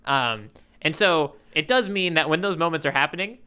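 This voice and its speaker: A man's voice: a little high, expressive, normal speed, bright, forceful tone, clear, resonant tone. A man co-hosting a podcast, casually discussing in a musing, playful way and often checking in with "right?". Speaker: podcast co-host.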